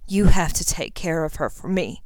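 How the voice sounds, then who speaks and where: A woman reader plays a wounded teenage hero in a fantasy story. His voice is weak and breathy, coming in short halting phrases, devoted to the last. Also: lowish voice, a little mellow, no room sound, natural voice. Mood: dying plea.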